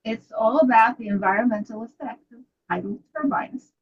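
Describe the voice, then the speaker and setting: A woman's voice: a little high, normal speed, breathy edge. An older woman from New York with a Brooklyn accent, an author chatting about her books over a video call. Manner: chatty storyteller, laughs often. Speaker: author guest. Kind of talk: casual interview chat. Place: home study with bookshelves. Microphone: video call webcam. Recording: dull lo-fi video call audio.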